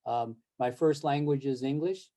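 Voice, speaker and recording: An older man with a dark, mellow tone speaks on a video call. He talks slowly and haltingly, filling pauses with "uh" and "um" and restarting his sentences. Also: medium pitch. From born in Japan, raised in the United States. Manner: halting, many fillers and restarts. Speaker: interview guest, film series board president. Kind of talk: casual interview over video call.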